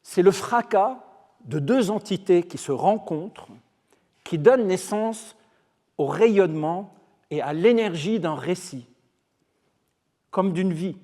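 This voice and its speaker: A man with Arabic as his first language gives a formal lecture in a measured, emphatic way, slowing down on key phrases. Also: sits high, animated, dark tone. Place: large lecture hall. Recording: long hall reverb.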